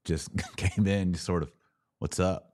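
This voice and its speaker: An American man hosting a podcast, giving opinionated church news commentary in an emphatic way, with deliberate pauses and sardonic asides. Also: sits low, fairly even intonation, darkish tone, no room sound, studio quality.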